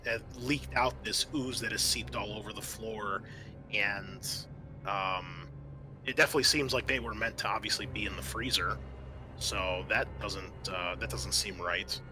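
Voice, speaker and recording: A man working as game master, improvising halting, descriptive narration for a tabletop sci-fi horror game. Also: medium pitch, pushed and bright.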